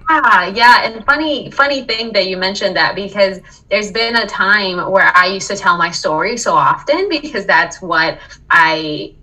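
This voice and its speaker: A woman's voice: mid-range voice, expressive, unhurried, sharp tone, distant mic, poor audio. A woman from Colombia, a wellness coach, telling her story earnestly in a casual interview, often checking in with "right?". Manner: earnest, reflective, frequent "right?" and laughs. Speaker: podcast guest, wellness coach.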